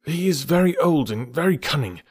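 A fretful old bear as a man reads him, his voice climbing high and loud as he frets and wails. The dry, close sound sits right at the ear. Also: animated, slightly rough, no room sound. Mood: urgent. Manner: loud, high and wailing, drawn-out cries. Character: anxious fussing old male bear, wailing and lamenting.

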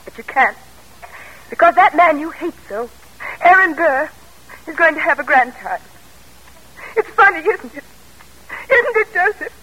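A woman's voice: very high voice, expressive, measured pace, long pauses, fairly bright tone, heavily acted. An actress playing a spirited, devoted young woman in an old-time American radio drama, speaking earnestly and theatrically. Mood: defiant. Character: spirited, devoted young daughter of an ambitious colonel. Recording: vintage radio broadcast, thin telephone-like tone.

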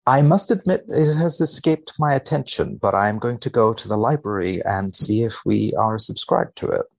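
A man's voice: lowish voice, normal speed, gravelly. A man speaking as a researcher guest, reading studies aloud and commenting with dry mock seriousness.

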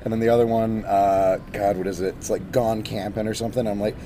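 Hoarse-voiced man joking on an outdoor podcast. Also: on the low side, brisk. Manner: animated, jokey, interrupts often. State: raspy voice today. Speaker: podcast co-host. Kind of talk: casual joking chat. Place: outdoors on a high spot. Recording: outdoor podcast with traffic noise.

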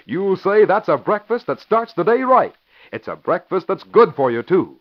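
A man's voice: sits high, very animated, normal speed. A man announcing and narrating a 1940s radio show, emphatic and punchy in delivery. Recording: dull lo-fi old radio recording.

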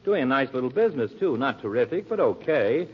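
An actor playing a gossiping American barber with a rambling, colloquial storytelling manner, in 1940s radio comedy style. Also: male voice, mid-range voice, sing-song, brisk, slightly rough. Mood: gossipy, warning. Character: chatty, gossiping small-town male barber. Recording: muffled, noisy old radio recording.

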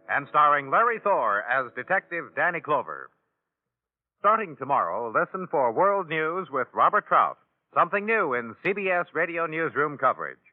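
A man's voice: on the high side, dry recording. An American man working as a radio announcer, reading in a polished, emphatic 1950s broadcast style. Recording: vintage radio, tinny band-limited, dry.

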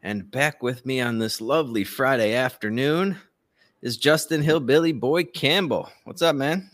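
A man's voice: medium pitch, normal speed, a bit bright, no room sound, clean studio sound. A man hosting a podcast with casual, opinionated and sardonic commentary, swearing now and then. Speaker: podcast host. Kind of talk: casual talk show commentary.

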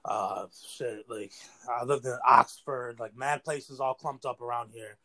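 A man's voice: medium pitch, steady tone, unhurried, sharp tone. An eighteen-year-old American man from New York State talking casually as an interview guest, earnest and rambling, with plenty of slang. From New York State, United States. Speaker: interview guest, battle rapper.

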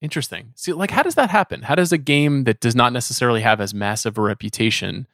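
A man speaks as a podcast host in a casual, conversational way. His pitch is middling, and the sound is dry with hardly any room to it. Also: expressive, quick pace, a bit bright, no echo. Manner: conversational, asks the guests questions. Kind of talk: casual film discussion.

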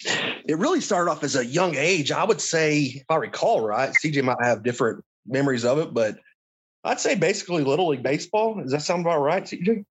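A man guesting on a podcast, talking in a relaxed, laid-back casual way. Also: fairly high pitch, fairly quick, breathy edge, rough recording. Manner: relaxed, laid-back with fillers like "you know". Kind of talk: casual chat.

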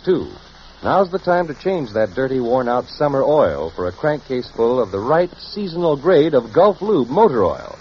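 A man announcing on a vintage radio show with a folksy, emphatic sales delivery. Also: medium pitch. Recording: muffled, hissy vintage radio recording.

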